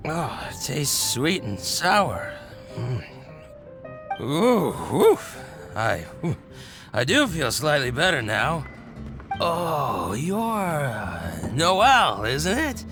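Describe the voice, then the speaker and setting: A drunken tavern patron from a fantasy game. His creaky voice drags very slowly and lurches up and down, slurred and halting with groans. Music plays behind. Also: fairly high pitch, big pitch swings, exaggerated voice. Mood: groggy, relieved. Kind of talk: scripted video game acting.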